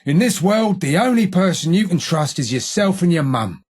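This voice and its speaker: An actor playing a gruff, streetwise London gang tough in a video game, with a rough gravelly British working-class accent and blunt, slangy, taunting delivery. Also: male voice, high voice, big pitch swings, moderate pace, darkish tone, full character voice. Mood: gruff, confiding. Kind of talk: video game character voice lines.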